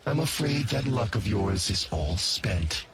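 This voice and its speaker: An actor playing a scornful, imperious machine-being warlord in a video game, speaking with theatrical, deliberate menace. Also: male voice, on the low side, lively intonation, vocal fry, background noise. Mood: menacing. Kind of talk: cinematic game villain acting.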